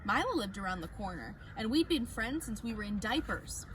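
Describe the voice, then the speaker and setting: Woman, teacher, reading a storybook aloud, animated, lively pitch, at home, noisy recording. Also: a little fast. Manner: animated storytelling with bright emphasis. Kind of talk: reading a children's chapter book aloud. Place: her home.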